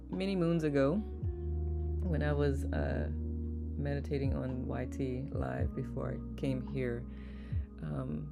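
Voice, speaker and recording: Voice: mid-range voice, vocal fry. A meditation livestream host chatting casually, greeting viewers by name, reading their comments aloud and laughing easily. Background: soft guitar music.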